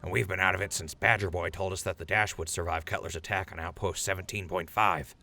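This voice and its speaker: Dry, laid-back survivor, played by a male actor, low creaky voice with a bright edge. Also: steady tone, quick pace, no room sound, full character voice. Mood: uneasy. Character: dry, wry, unfussy male wasteland crew member. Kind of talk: post-apocalyptic audio drama acting.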